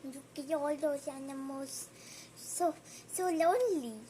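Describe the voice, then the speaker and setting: A woman reading a children's picture book aloud, gentle and unhurried, stopping for friendly asides to her listener. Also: sits high, slight background noise. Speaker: storybook reader.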